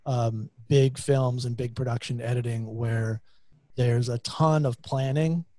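A man's voice: mid-range voice, fairly even intonation, a little slow, mellow, dry recording. A man hosting a podcast interview, chatty and rambling, circling around his questions with many restarts. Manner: rambling, lots of fillers and restarts. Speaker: podcast host. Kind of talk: casual interview.

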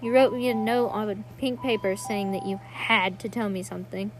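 A woman's voice: medium pitch, steady tone, moderate pace, a little hiss or hum, close to an everyday voice, low-quality recording. A woman playing an earnest, pleading young sister in a dramatic monologue reading. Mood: wistful, regretful.

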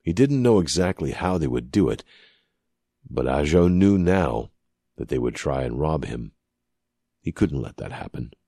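A man narrating scripted fiction as an audiobook reader, with dramatic, measured and deliberate phrasing. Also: very deep voice, animated, warm tone, breathy edge, dry recording, studio quality.

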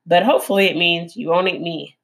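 A woman voicing a dramatic female opossum who fancies herself an actress, talking in a grand, showy way with a husky edge. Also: fairly low pitch, fairly even intonation, brisk. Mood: wary.

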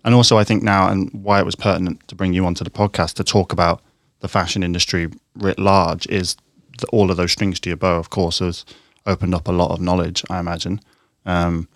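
Low-voiced man, thoughtful podcast host. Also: little pitch movement, dry recording, clean studio sound. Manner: thoughtful, measured, rambling questions. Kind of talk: podcast interview.

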